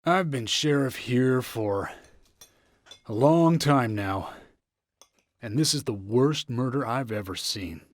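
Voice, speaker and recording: A man's voice: medium pitch, expressive, slow pace, long pauses, no echo, clean studio sound. A man playing a seasoned, no-nonsense small-town sheriff in a video game, middle-aged, with a plain-spoken, businesslike manner. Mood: sober, reflective. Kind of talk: video game cutscene acting.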